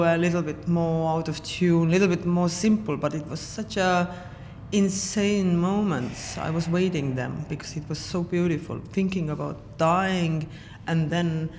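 A woman's voice: lowish voice, steady tone, a little mellow. Estonian woman saxophonist, reverberant hall. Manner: reflective, halting with many fillers and restarts. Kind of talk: interview answers. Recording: long hall reverb, faint noise.

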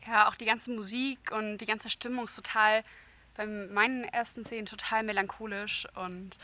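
Eifrige junge Sängerin, helle Stimme. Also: female voice, medium pitch, fairly even intonation, moderate pace, pushed and bright. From Berlin, Germany. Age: young adult. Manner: eager, repeats words like "total". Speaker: soprano singer. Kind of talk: interview answers.

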